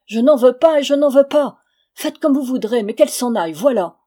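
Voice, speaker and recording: A woman's voice: mid-range voice, a little fast, warm tone, close to an everyday voice. A woman voicing a wronged, hot-tempered, stubborn peasant husband in a dramatic audiobook reading, with a harsh, insistent manner. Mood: exasperated.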